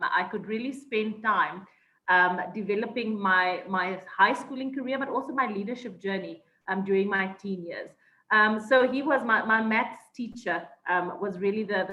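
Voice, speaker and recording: A woman's voice: mid-range voice, sharp tone, slight background noise. A South African woman telling her life story in an interview, thoughtful, with many ums and restarts. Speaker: guest. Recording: echoey, dull lo-fi sound.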